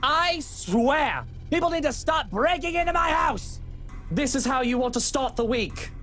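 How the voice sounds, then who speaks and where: An actor playing a smug, menacing rich homeowner in a visual novel game, mocking and condescending in a theatrical way. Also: male voice, very high-pitched, expressive, measured pace, bright, forceful tone, exaggerated voice. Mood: exasperated anger. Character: smug, menacing wealthy male homeowner villain. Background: faint music.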